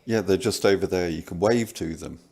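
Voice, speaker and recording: A man's voice: low voice, fairly quick, gravelly, darkish tone. A man commenting in a casual critical discussion with a dry, understated manner. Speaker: panel commentator.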